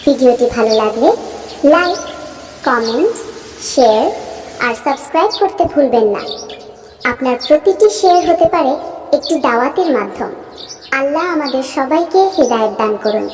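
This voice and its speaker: Woman narrator, very high voice, scripted children's cartoon storytelling, long reverb, faint noise. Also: expressive, normal speed, pauses often, darkish tone.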